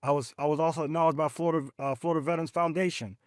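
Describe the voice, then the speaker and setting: Emphatic, animated veteran advocate man. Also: very fast, no room sound, studio quality. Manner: emphatic, animated storytelling, speaks of faith; rising pitch when excited. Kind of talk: podcast conversation.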